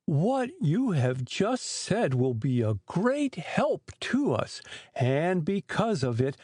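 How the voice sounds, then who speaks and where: Slow, earnest storybook bear voiced by a woman. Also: lowish voice, darkish tone, husky, dry recording, clean studio sound, exaggerated voice. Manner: ponderous, earnest. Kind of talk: dramatized children's storybook reading.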